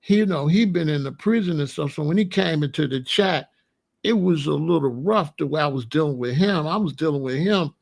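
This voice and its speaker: A man with a gravelly, somewhat high voice talks bluntly through a casual monologue. His is slangy and repetitive, and he keeps asking whether you follow him. He is confrontational and plain-spoken. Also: fairly quick, a little mellow. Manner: repetitive, keeps asking you know what I'm saying. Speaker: commentary channel host.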